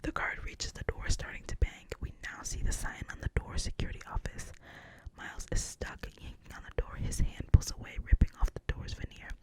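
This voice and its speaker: A narrator reads stage directions aloud, entirely in a soft, breathy whisper. The delivery stays even, hushed and close, like a bedtime read-through. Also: a little fast, few pauses. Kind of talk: whispered screenplay reading.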